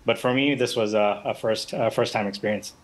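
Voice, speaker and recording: A man's voice: fairly low pitch, fairly even intonation, brisk. A man from Bangladesh speaking as an eyewitness guest in a live news interview, talking casually with many fillers over a remote link. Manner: casual, conversational, frequent fillers and restarts.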